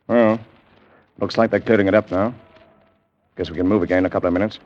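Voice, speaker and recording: A man playing a confident, affectionate ship's officer in a classic radio drama, with a hearty, expressive delivery. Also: deep voice, fast talker, close to an everyday voice. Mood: brisk, concerned. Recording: muffled, noisy old radio recording.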